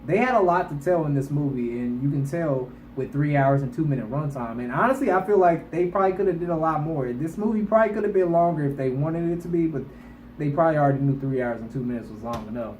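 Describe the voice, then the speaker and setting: Echoey, higher-pitched man, casual vlogger. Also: lively intonation, fast, darkish tone. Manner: emphatic, colloquial, repeats himself for emphasis. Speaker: movie review vlogger. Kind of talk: casual movie review to online viewers. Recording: echoey room with faint background noise.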